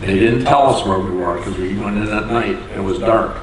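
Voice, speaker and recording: A man's voice: lowish voice, fairly quick. An older man from Door County, Wisconsin, telling plainspoken stories as an interview guest in a community hall. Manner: plainspoken, chuckles at his own stories. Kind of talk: unhurried storytelling. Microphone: far from the mic. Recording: echoey hall from far off, noisy.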